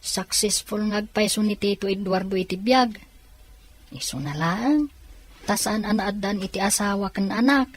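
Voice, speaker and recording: A woman's voice: medium pitch, normal speed, close to an everyday voice. A woman playing a curious young son in an audio drama. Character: curious young son questioning his mother.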